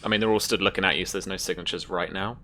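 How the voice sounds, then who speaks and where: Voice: low-pitched, steady tone, racing, pushed and bright. A game master narrating a tabletop roleplaying game in a casual, improvised way. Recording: clear background noise.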